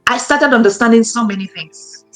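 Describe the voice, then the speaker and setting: A woman's voice: fairly high pitch, brisk, fairly bright tone, soft background music. A woman, a guest in a conversational interview, who talks emphatically and repeats her points for stress. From Cameroon. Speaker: guest, academic.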